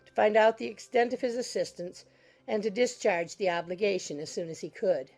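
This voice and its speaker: A woman reading literary narration for a dramatized audiobook. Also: mid-range voice. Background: soft music.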